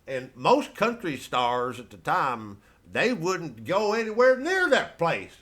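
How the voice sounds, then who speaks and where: A man's voice: a little high, huge pitch swings, a bit bright. Folksy American man telling rambling comic stories. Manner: folksy, colloquial storyteller with drawn-out, meandering delivery. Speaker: stand-up comedian. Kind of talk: rambling comic storytelling monologue.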